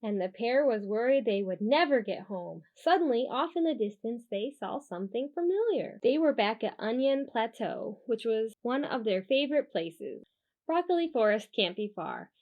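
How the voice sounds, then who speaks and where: A woman reading a children's storybook aloud in an expressive, emphatic way, with informal asides. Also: fairly high pitch, moderate pace, few pauses, dull audio. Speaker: children's storybook reader and host. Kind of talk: animated children's read-aloud with casual asides.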